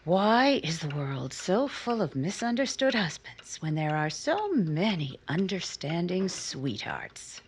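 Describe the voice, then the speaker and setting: An actress playing a guarded, bitterly proud lonely woman in a romantic 1950s-style radio drama, with measured, pointed, probing delivery. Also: fairly low pitch, big pitch swings, a little hiss or hum, heavily acted. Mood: probing, sardonic.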